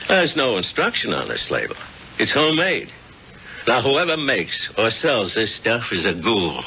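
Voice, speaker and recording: An actor playing a hard-nosed police lieutenant, blunt and businesslike, in 1970s radio drama style. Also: male voice, lowish voice, wildly swooping pitch, a little slow, full character voice. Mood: stern, determined. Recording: dull noisy old radio recording.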